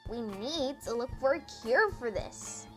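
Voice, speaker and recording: A woman's voice: on the high side, expressive, a little slow, music in the background, pitched above the performer's own voice. A woman voicing an earnest, studious young female pony, urgent and determined, in a cartoon fan story.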